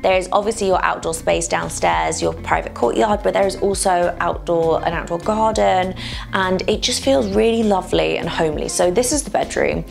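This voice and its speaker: A woman's voice: lowish voice, moderate pace, vocal fry, music in the background. A young woman presenting a casual walk-through house tour on a lapel mic, gushing with enthusiasm and calling nearly everything wonderful. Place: small cottage rooms and brick courtyard.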